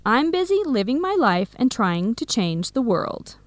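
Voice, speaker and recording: A woman's voice: a little high, big pitch swings, measured pace, no echo. An actress playing a busy, ambitious, practical young woman in an educational radio drama. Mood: matter-of-fact.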